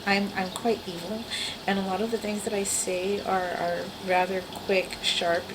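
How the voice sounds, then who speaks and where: A woman's voice: medium pitch, little pitch movement, unhurried, heavy background noise. A woman in her forties with a small, girlish voice, chatting casually and wryly to her camera at home. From the Bronx, New York. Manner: rambling, self-deprecating, wry. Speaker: vlogger. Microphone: camera mic.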